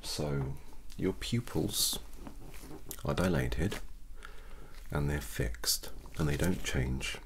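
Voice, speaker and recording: A young British man speaking in a gentle, breathy near-whisper, reassuring and unhurried, as a doctor in an ASMR roleplay. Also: rumbling low, a little hiss or hum. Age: young adult. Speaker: ASMR performer playing a doctor. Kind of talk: soft-spoken ASMR medical roleplay.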